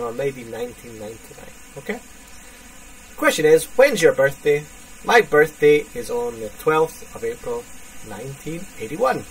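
A man in his thirties teaching a basic English lesson, speaking slowly and repeating phrases for learners. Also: on the high side. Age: 30s. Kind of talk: online English lesson for students. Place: indoors, small room. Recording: distant webcam mic with faint hiss.

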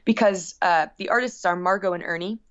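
A woman speaking as a musician guest in a casual interview, telling stories in an effusive, animated way. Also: lowish voice, fairly quick, lo-fi sound.

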